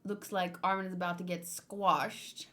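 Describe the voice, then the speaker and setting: A woman livestreaming in a casual, expressive and playful way, chatting and commenting as she reads aloud. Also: fairly low pitch, normal speed. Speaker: streamer hosting a manga read-along.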